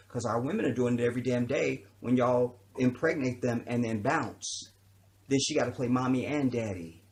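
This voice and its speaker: A middle-pitched man from Chicago holds forth on a livestream. He talks in an emphatic, sermon-like way, mixes in profanity, and keeps pausing to ask his listeners if they understand. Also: a little hiss or hum. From born in Chicago, raised around the US. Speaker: livestream host. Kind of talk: unscripted livestream monologue.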